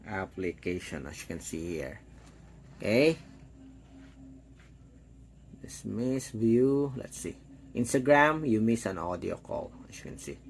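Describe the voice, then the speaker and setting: Male product reviewer, mid-pitched, unhurried and halting, casual step-by-step demo narration. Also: a little hiss or hum.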